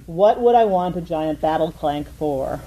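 A woman's voice: deep voice, expressive, unhurried, mellow, a little hiss or hum, poor audio. A woman playing a bossy, exasperated young female mad scientist in a comic audio drama, dry and emphatic.

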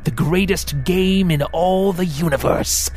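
A man voicing a smooth, sarcastic male devil who teases and threatens by turns in an audiobook. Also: sits high, a little slow, darkish tone, soft background music, voice pushed up. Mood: mocking. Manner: teasing, sarcastic, theatrical. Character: sly mocking male devil, smooth, menacing.

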